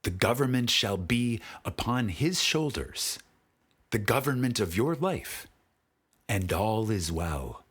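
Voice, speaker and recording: A man with a husky voice reads a spiritual audiobook in a solemn, deliberate manner with dramatic pauses. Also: on the low side, sing-song, a bit bright. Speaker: audiobook narrator. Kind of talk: scripted spiritual audiobook narration.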